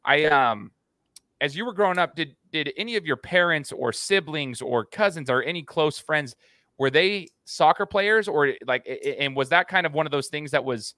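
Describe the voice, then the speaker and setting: A man's voice: fairly high pitch, animated, normal speed, sharp tone, dry recording, clean studio sound. An American man hosting an interview podcast in a casual, chatty way, talking to the guest like a buddy. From United States. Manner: informal, buddy-like address. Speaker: podcast host.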